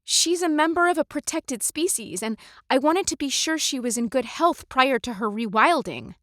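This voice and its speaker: A woman puts on an anxious young woman, her voice pitched high and bright. She stammers and fills gaps with ums and uhs, eager to get things right. Dry, close sound. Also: animated, moderate pace, no room sound. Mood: nervous. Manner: hesitant, stumbling with ums and uhs. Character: flustered young woman, nervous, eager to please.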